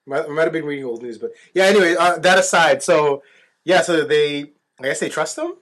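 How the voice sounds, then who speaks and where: A man's voice: a little high, fairly quick, fairly bright tone. A man co-hosting a podcast in casual banter, slangy and full of questions. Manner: slangy, inquisitive. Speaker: podcast co-host.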